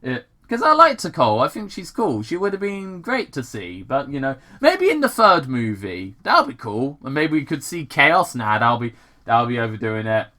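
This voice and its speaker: Casting: amateur fan fiction reader, mid-pitched, highly animated, halting and excitable, casual rambling asides. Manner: halting, self-deprecating, excitable. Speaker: host reading fan fiction aloud. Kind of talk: casual dramatic reading with rambling commentary.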